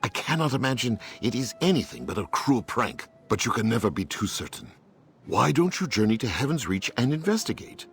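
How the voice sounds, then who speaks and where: A man's voice: medium pitch, sing-song, a bit bright, husky, heavily acted. A man playing a solemn, careworn martial arts mentor in a video game, grave and thoughtful. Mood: somber, reflective. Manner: grave, thoughtful, measured phrasing. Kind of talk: video game character acting.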